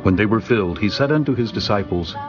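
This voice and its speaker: A man narrating scripture in a steady, formal reading voice. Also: fairly low pitch, fast talker, dull audio. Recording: music underneath.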